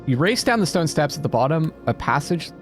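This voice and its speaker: A man giving let's-play commentary, reading game text aloud dramatically and thinking out loud as he plays. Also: a little high, big pitch swings, brisk. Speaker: video game streamer playing a narrative game. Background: music behind the voice.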